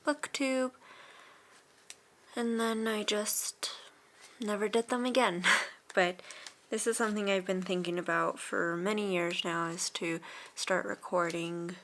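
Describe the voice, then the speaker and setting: Late-twenties woman, casual vlogger, unhurried and a bit gravelly, easygoing rambling delivery. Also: medium pitch. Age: 20s. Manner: relaxed, rambling with frequent fillers and soft laughs. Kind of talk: casual vlog chat.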